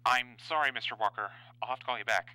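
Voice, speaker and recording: Man playing a sharp, rapid-fire army officer. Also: medium pitch, no room sound, poor audio. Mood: curt, official. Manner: clipped, official. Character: curt, cautious army security officer answering telephone. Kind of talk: radio drama acting.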